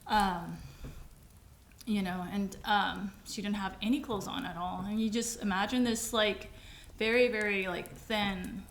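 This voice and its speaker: This is a woman co-hosting a faith podcast, speaking English as her second language after Vietnamese. She tells her story in a halting, heartfelt way with many restarts. The room is echoey and carries a faint background hum. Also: medium pitch, steady tone. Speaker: podcast co-host. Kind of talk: personal testimony in casual conversation.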